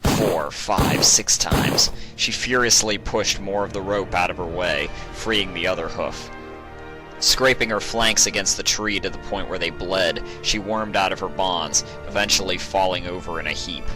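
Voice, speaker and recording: A man reading a story aloud as dramatic scripted narration, grave and measured, with music behind him. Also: deep voice. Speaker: audiobook narrator.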